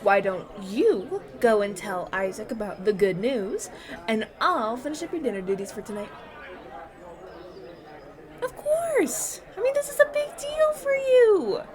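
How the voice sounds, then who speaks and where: Excitable high-voiced young village friend acted by a woman. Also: measured pace, some chatter behind. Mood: excited, encouraging. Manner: gushing, informal, emphatic. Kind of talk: casual fantasy audio roleplay acting.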